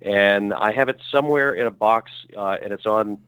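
A middle-aged actor speaking as a podcast guest, telling long stories in a rambling, self-correcting way full of fillers. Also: male voice, fairly low pitch, moderate pace, clear, resonant tone, dry recording, phone-quality sound. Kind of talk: relaxed conversational storytelling.

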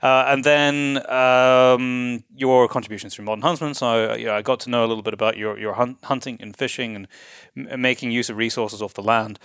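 A man's voice: medium pitch, steady tone, brisk, a bit bright, smooth, no echo, studio quality. A man hosting a casual, conversational interview, asking questions and chatting about his own experiences. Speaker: interview host.

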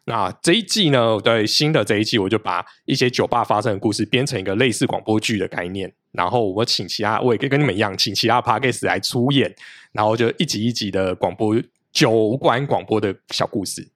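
A man, a podcast guest explaining his show in a steady, explanatory way. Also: fairly low pitch, a little fast, no echo, studio quality. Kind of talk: casual interview talk.

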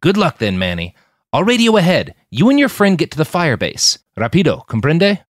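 Man performing a middle-aged militia officer, grim, curt, pitched up, forceful volume, dry studio sound, audio fiction. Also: huge pitch swings, a little fast, no echo. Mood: brisk. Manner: curt, peppered with Spanish words.

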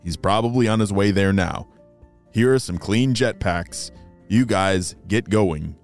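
A storyteller voicing a calm, authoritative male spy team leader who gives deliberate, reassuring instructions in a children's adventure tale. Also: low voice, faint music behind, voice pushed down. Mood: calm, instructing.

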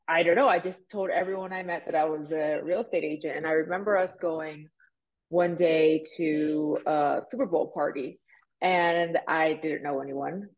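Chatty woman guest, low voice. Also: rough recording. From Puerto Rico, raised in Miami. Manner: chatty, animated, many fillers like "like" and "you know". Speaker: real estate agent, guest. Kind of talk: casual interview storytelling.

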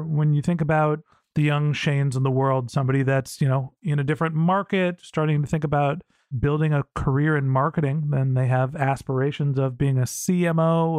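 A man with a somewhat high voice hosts an interview podcast. He sounds close and dry, with no room echo, as he sets up and asks structured questions in conversational language. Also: darkish tone.

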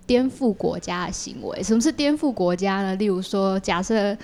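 A woman's voice: mid-range voice, lively intonation, moderate pace, darkish tone. A woman with a Taiwanese Mandarin accent, explaining things conversationally as an interview guest in long, run-on sentences. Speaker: podcast guest, audio drama creator.

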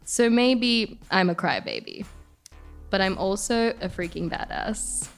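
A woman's voice: medium pitch, animated, a little slow, music in the background. An Australian woman reading scripted personal stories and radio announcements in an emphatic, conversational way. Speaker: storyteller and radio show host.

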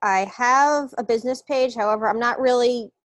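A woman, a wellness coach speaking as an interview guest, relaxed and meandering with hesitant fillers. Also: fairly high pitch, normal speed, dry recording. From New York. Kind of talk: casual interview.

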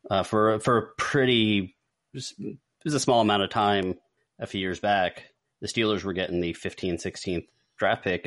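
Deep-voiced man chatting as podcast host. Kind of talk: casual panel chat.

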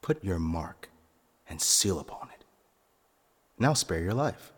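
A man plays a vengeful phantom-like nobleman with a low voice and cold, measured menace. His words are formal and deliberate, ringing with long hall reverb in a fantasy audio drama. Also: sing-song, husky, heavily acted. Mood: menacing. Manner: deliberate, cold, eloquent threats.

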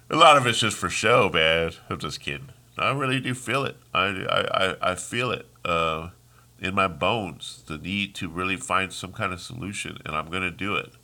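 Deep-voiced, bright, congested man ranting. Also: measured pace. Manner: heated, ranting, trails off and restarts. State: congested, sniffling. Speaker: podcast host. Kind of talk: casual solo podcast monologue.

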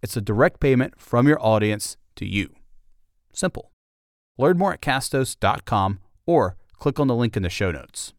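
A man's voice: medium pitch, expressive, moderate pace, no echo. A man hosting a podcast, delivering scripted documentary-style narration.

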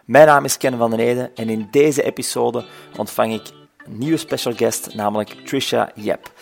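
A man's voice: medium pitch, fairly even intonation, moderate pace, vocal fry. A man hosting a podcast, introducing a guest and talking hesitantly, repeating words as he goes. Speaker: podcast host. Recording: faint music behind.

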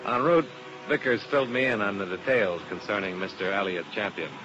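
An actor playing a laconic insurance investigator in a 1950s radio drama, dry and matter-of-fact, on a muffled old broadcast with music. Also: male voice, lowish voice, brisk, close to an everyday voice. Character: wisecracking male insurance investigator, dry and unflappable. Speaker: lead detective and narrator.

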